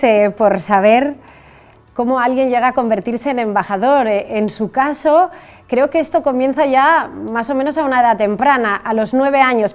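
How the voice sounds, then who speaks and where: Lively Spanish woman hosting an interview. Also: sits high. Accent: Spanish from Spain. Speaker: show host.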